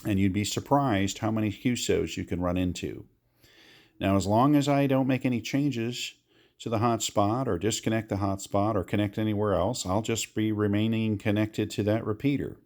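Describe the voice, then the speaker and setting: A man's voice: lowish voice, moderate pace, a little mellow. An American man giving a casual how-to walkthrough of amateur radio gear, explaining with repeated reminders.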